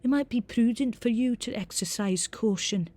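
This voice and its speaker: A woman's voice: fairly high pitch, a little mellow, pitched above the performer's own voice, natural voice. A woman voicing a stern, formal older female teacher with a reedy Scottish accent in an audiobook. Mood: grave, firm. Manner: prim, measured, formal.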